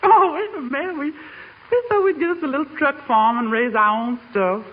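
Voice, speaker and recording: Very high-pitched folksy radio housemaid. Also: male voice. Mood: tickled. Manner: folksy ungrammatical talk, drawn-out vowels, big giggles. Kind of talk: 1940s radio comedy acting. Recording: noisy muffled 1940s radio recording.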